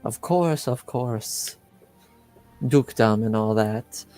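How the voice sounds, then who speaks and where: A man's voice: medium pitch, slow pace, dark tone, faint music behind, natural voice. A man voicing a polite, flippant noblewoman with formal, courtly phrasing in a tabletop roleplaying game. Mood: agreeable. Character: polite, flippant young noblewomen at a masquerade salon.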